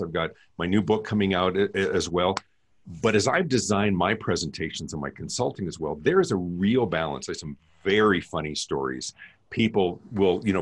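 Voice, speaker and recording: A middle-aged man with a deep voice, hosting a casual interview in a chatty, self-deprecating way. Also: husky. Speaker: podcast host.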